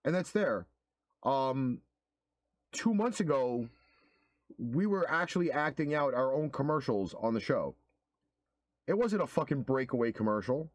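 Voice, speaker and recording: A man's voice: mid-range voice, expressive, unhurried, long pauses, no echo. A man co-hosting a casual podcast, earnestly making a case with some swearing. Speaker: co-host.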